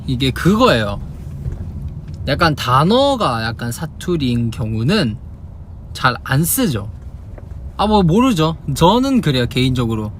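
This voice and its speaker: A young man from Busan with a Busan Korean accent, chatting casually in a livestream, answering fan questions and demonstrating dialect phrases. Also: medium pitch. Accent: Busan Gyeongsang Korean. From Busan, South Korea. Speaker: idol livestream host. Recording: steady car noise behind.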